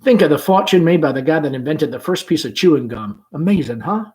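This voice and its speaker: A man playing a confident, gently humorous young gentleman in a play, speaking in a hearty, breezy manner. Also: on the high side, animated, fairly quick, lightly acted. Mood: confident, easygoing. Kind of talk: play read-aloud.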